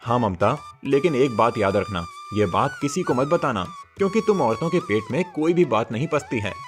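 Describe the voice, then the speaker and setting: A man's voice: medium pitch, wildly swooping pitch, normal speed, music in the background. A man voicing an honest, hopeful village husband and father in a cartoon story, earnest and plain-spoken.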